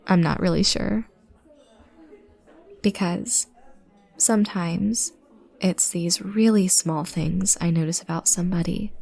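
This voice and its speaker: Woman playing a shy, creaky-voiced adorkable stranger. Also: mid-range voice. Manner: hesitant, self-conscious, soft-spoken rambling. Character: shy, adorkable young female stranger, awkwardly sweet overthinker. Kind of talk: intimate roleplay audio drama, rambling naturalistic chat.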